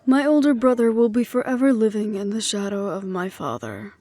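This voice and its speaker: Woman acting a soft, mellow-voiced wry ex-hunter. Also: mid-range voice, fairly quick, close to an everyday voice. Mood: weary, earnest. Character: wry ex-hunter woman, affectionate, weary of family strife. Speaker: voice actor in audio roleplay. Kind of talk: intimate one-sided audio roleplay acting.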